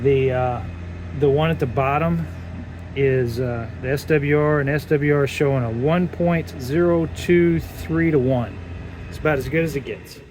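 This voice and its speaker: A man's voice: medium pitch, normal speed. A man doing a casual hands-on amateur radio demonstration and making radio calls, recorded in the field. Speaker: amateur radio operator and video maker. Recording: noisy field recording, vehicle-like ambient noise.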